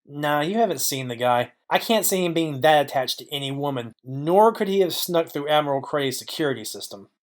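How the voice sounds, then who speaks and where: A man's voice: on the high side, fairly quick, hardly any pauses, natural voice. A man playing a dry, wry, hardboiled private detective, narrating and questioning in film noir style. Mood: musing. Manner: deadpan, wry.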